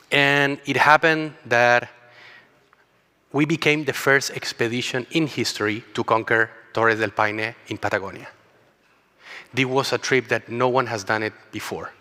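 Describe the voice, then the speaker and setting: A man from Chile talks with a Chilean Spanish accent and a bright, forceful tone. He tells a personal story in an earnest way and often repeats words. His voice carries a long reverb from the large conference hall. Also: medium pitch. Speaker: keynote speaker.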